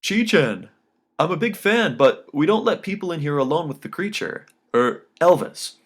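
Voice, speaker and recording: A man reading an audiobook, voicing a male guitarist who is polite, nervous and insistent and talks loudly. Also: a little high, big pitch swings, moderate pace, pitched above the performer's own voice. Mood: anxious, loud. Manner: loud, urgent, cautioning. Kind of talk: audiobook character dialogue.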